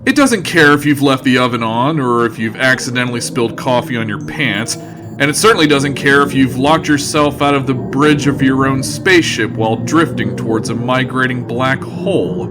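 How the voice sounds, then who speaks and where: A man's voice: medium pitch, moderate pace, music in the background, close to an everyday voice. A man playing the wry storyteller of a sci-fi audio comedy, droll and theatrical. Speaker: narrator. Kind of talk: wry scripted comedy narration.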